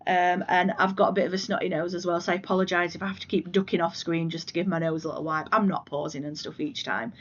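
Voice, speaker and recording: A woman from the UK with a hoarse voice from a cold, chatting casually about her crafts at home in a rambling, self-deprecating way. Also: fairly low pitch, steady tone, quick pace, few pauses, dull audio. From United Kingdom. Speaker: flosstube vlogger. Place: living room at home.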